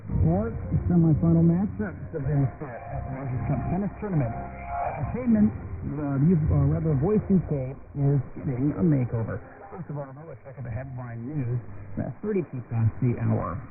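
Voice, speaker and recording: A man's voice: mid-range voice, creaky, phone-quality sound, noisy background. A man presenting radio news, reading headlines and forecasts over a shortwave broadcast.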